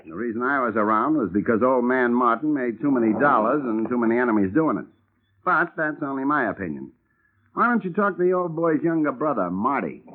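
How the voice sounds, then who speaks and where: A man's voice: medium pitch, animated, fairly quick, noisy background, natural voice. An actor playing a blunt, wary male bodyguard in a 1950s radio drama, clipped and matter-of-fact. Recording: tinny old radio broadcast.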